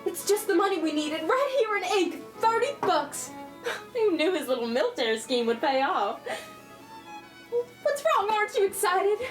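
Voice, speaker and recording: A woman's voice: extremely high pitch, big pitch swings, normal speed. A woman acting a spirited, devoted teenage daughter in a 1940s holiday radio play, earnest and emotional. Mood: overjoyed, excited. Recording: roomy, distant, music underneath.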